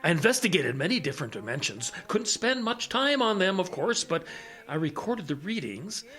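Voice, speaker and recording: A man's voice: high voice, sing-song, moderate pace, pushed and bright, heavily acted. An actor playing a blinded, absent-minded scientist major, explaining hesitantly as he thinks aloud in an audio drama. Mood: thoughtful explaining.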